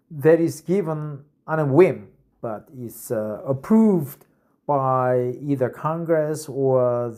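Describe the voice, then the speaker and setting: A man's voice: mid-range voice, darkish tone. A man in his fifties from South Korea, a non-native English speaker with a Korean accent, reading an article aloud and explaining it with frequent "uh" fillers and "right?" tags. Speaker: English-practice reader and explainer.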